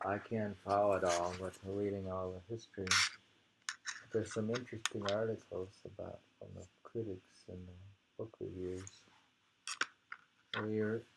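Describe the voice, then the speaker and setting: A man casually reading poetry and talking it over in a halting, musing way. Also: on the low side, vocal fry, noisy background. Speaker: reader and host of poetry readings.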